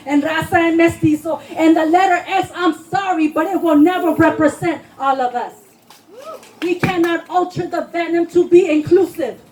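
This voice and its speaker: A woman's voice: very high-pitched, darkish tone, slight background noise, rough recording. A woman poet giving an impassioned, emphatic spoken word performance at a live reading, declaiming her lines. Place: live poetry reading before a responsive audience.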